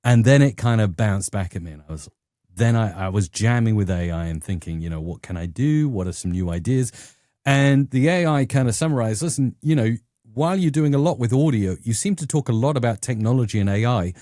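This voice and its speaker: A middle-aged man with a southern English accent, telling stories conversationally as an interview guest, often acting out quotes in an animated manner. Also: medium pitch, brisk, dry recording, clean studio sound. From England. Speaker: YouTube educator and interview guest.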